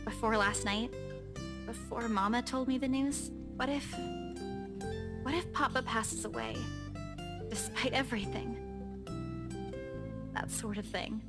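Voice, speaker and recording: A woman's voice: a little high, loud music behind the voice, natural voice. An actress playing a worried, downcast young woman in a visual novel, speaking hesitantly. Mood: hesitant, sad. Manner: hesitant, halting.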